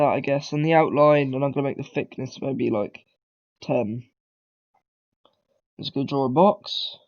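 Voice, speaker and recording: A man's voice: a little high, darkish tone, muffled recording. A man, talking casually through a beginner coding tutorial, rambling and correcting himself as he goes. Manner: rambling, self-correcting, lots of ums and throat clearing. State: has a cold. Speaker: tutorial maker.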